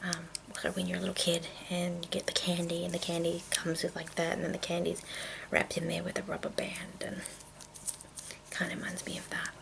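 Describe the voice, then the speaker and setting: A woman whispering in a breathy, hushed, unhurried way with halting pauses, presenting an ASMR show-and-tell. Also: fairly low pitch, monotone, background noise. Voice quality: breathy whisper with creaky edges. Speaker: ASMR video host.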